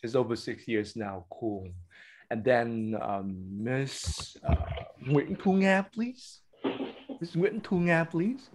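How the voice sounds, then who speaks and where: A man hosting an online teachers' meeting, calling on each teacher in turn and filling pauses with ums. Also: medium pitch, noisy background. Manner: slow, hesitant with many fillers. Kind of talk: hosting a video call.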